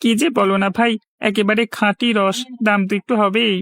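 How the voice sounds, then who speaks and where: A man's voice: sits high, little pitch movement, a little fast, creaky, a bit bright, no echo, clean studio sound. A man voicing an earnest, honest village sap seller in a cartoon, polite and persuasive. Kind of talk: cartoon voice acting.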